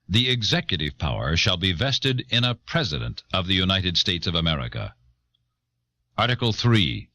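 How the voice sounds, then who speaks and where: A man's voice: on the low side, bright, forceful tone, no echo. A man formally reading out a legal document in a solemn, declarative manner. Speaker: reader of legal text.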